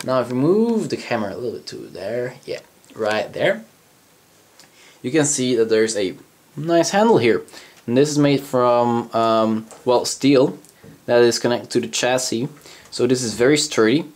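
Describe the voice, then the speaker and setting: A man's voice: mid-range voice, expressive, moderate pace. A young man with a cold and a slightly scratchy voice, casually walking through a hardware review, rambling with fillers and self-corrections. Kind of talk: casual product review walkthrough. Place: indoors at a desk, handling a PC case.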